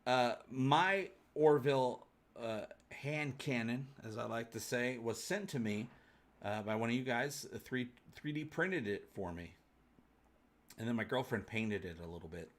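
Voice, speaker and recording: A man's voice: medium pitch, measured pace. Male livestream host, jokey and colloquial, loose off-the-cuff rambling, mid-range voice. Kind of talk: casual chat, answering live viewer comments.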